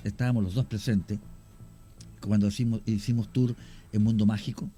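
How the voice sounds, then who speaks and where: Chilean guy telling ghost stories on the radio, kinda halting, warm mellow voice, soft music under it. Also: on the low side. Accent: Chilean Spanish. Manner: storytelling, animated with hesitations. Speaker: guest, cemetery tour guide and actor. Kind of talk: casual radio conversation. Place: radio studio.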